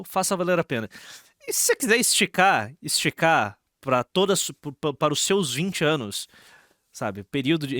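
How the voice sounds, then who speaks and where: A man's voice: fairly high pitch, a bit bright, dry recording, clean studio sound. A Brazilian man doing casual live reaction commentary as a streamer, slangy and sarcastic, often repeating his phrases.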